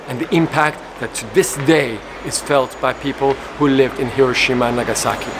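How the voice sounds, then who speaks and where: Earnest man from Iran, noisy backdrop. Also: mid-range voice. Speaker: co-founder of an advocacy group. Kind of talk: conference interview statement. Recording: loud background noise.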